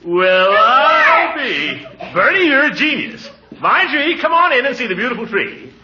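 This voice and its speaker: An actor playing a blustery, good-natured middle-aged uncle with a pompous, hemming manner in 1940s radio comedy style. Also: male voice, extremely high pitch, very animated, measured pace, crowd noise, full character voice. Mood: delighted. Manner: blustery, hesitant hemming, exclamatory. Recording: muffled, hissy 1940s radio recording.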